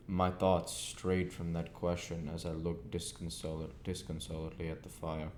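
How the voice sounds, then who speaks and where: Man, low voice, unhurried storybook narration, halting phrasing, roomy echo, faint noise. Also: completely flat delivery, darkish tone. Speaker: amateur audiobook reader. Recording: echoey room, faint background noise.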